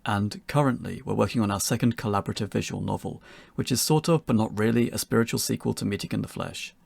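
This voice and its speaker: A man's voice: fairly low pitch, fast, creaky, a little mellow, no echo. A man co-presenting a scripted, pre-recorded instructional talk as an amateur developer.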